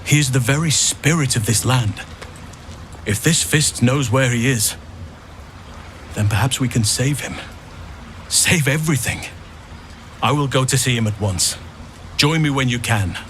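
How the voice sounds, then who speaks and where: An actor playing a grave, earnest druid in a fantasy game, speaking with solemn, weighty declamation. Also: male voice, mid-range voice, measured pace, pauses often, fairly bright tone, slight background noise, close to an everyday voice. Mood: urgent, hopeful. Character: grave, earnest male druid of a cursed land. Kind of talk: dramatic fantasy game dialogue.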